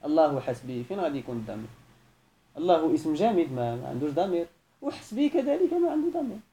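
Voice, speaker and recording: A man's voice: a little high, sing-song, fast talker, warm tone, poor audio. A man from Morocco teaching Arabic grammar to a class, mixing formal Arabic with Moroccan dialect and pressing students with repeated questions of why. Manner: probing, repeatedly asks why and corrects.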